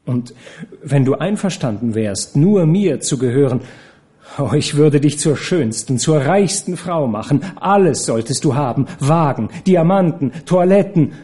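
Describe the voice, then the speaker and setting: A man's voice: on the high side, expressive, unhurried, dark tone, pitched above the performer's own voice. A man voicing a lovesick nobleman who pleads urgently and stammers. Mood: pleading.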